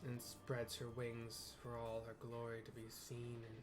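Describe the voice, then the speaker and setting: Unhurried man narrating over game music. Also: mid-range voice, completely flat delivery. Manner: descriptive, informal, reads dialogue aloud. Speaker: video game player narrating for viewers. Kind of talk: live game narration with audio description.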